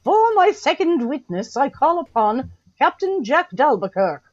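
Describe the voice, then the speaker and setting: A woman acts a commanding courtroom examiner in a radio mystery, with a highish, animated voice. She is formal and precise, recorded dry and slightly dull. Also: moderate pace, no room sound. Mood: formal, probing. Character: stern, formal inquest official questioning witnesses. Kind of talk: audio drama acting.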